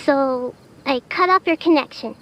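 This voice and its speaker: A woman voicing a mysterious, composed young stranger in a video game, speaking in a cryptic, measured manner. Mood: calm, enigmatic. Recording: dull audio with faint rumble.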